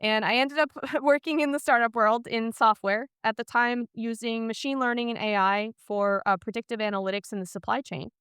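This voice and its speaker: A woman's voice: on the high side, fairly even intonation, moderate pace, few pauses, a bit bright, clear, resonant tone, no room sound, studio quality. A woman from Iceland speaking as a podcast guest, telling her career story in a candid, chatty way.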